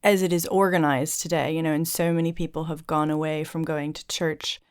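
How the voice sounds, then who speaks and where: A woman's voice: low voice, flat delivery, fairly quick, smooth. A woman hosting a podcast interview, talking earnestly and thoughtfully, building her points in long connected runs. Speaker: podcast host. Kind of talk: reflective conversational interview.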